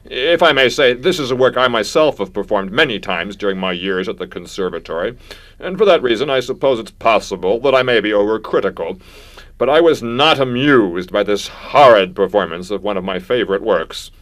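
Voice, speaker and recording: A man's voice: fairly low pitch, expressive, fairly quick, fairly bright tone. A man playing a pompous, irascible classical music critic in a comic radio sketch, speaking floridly with stammers. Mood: scornful.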